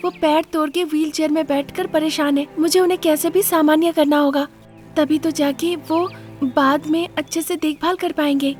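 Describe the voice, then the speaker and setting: Self-sacrificing young daughter-in-law for a moral tale female reader acting, high-pitched, respectful, pleading, music underneath. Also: steady tone, normal speed, darkish tone, natural voice. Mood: worried. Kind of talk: moral story character voice.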